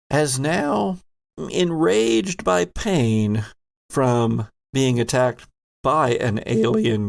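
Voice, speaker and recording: Gravelly man narrating with theatrical flair. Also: mid-range voice. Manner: theatrical, drawn-out storytelling. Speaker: host and game master. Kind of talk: dramatic narration of a tabletop horror game.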